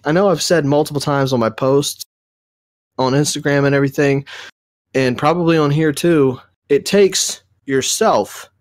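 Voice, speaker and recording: A man's voice: mid-range voice, no room sound, studio quality. Young man, nasal from a cold. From Southern United States. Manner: rambling, self-correcting storytelling. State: recovering from a cold, a bit nasal. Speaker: podcast co-host. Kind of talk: casual chat.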